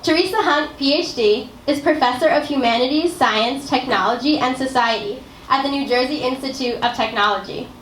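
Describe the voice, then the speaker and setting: Young woman emcee, high voice, reads an introduction steadily, distant room sound. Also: a bit bright, slight background noise. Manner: measured list-like reading. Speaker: event emcee. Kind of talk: reading an introduction. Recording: echoey hall from far off.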